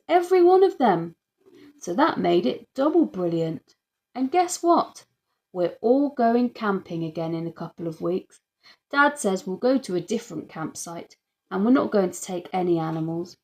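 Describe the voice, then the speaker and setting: Woman narrating a kids audiobook, scripted storytelling, some vocal fry. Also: mid-range voice, expressive, moderate pace, darkish tone. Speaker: audiobook reader.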